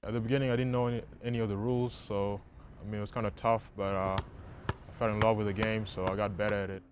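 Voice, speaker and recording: A young man from South Sudan, a college basketball player, answering interview questions haltingly with many fillers, on a lapel mic in a dark empty arena. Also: fairly low pitch, flat delivery, background noise. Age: young adult.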